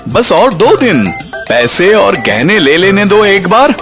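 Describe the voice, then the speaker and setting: Guy doing a greedy cartoon sorcerer, really high voice, swoopy and wheedling, music behind. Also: sing-song, slow pace, full character voice. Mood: scheming, coaxing. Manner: shrill, wheedling, theatrical. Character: scheming greedy male tantric sorcerer, cowardly trickster. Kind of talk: animated cartoon story acting.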